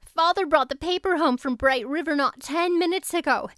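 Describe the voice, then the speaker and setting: A woman voicing an excitable, gushing teenage girl, a best friend in a storybook reading. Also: high voice, moderate pace, dry recording, studio quality, pitched above the performer's own voice. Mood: excited, gushing. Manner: gushing, exclamatory.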